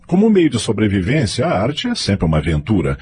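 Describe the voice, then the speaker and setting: Man acting expressive, deep-voiced politician father, noisy track. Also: fairly quick. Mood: persuasive, authoritative. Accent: Brazilian. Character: self-assured politician father, middle-aged, persuasive and proud. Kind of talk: radio drama acting.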